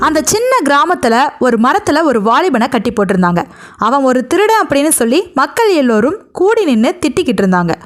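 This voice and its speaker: A woman's voice: high voice, sing-song, a little fast, faint music behind. A woman telling a story as a narrator, in a dramatic spoken style.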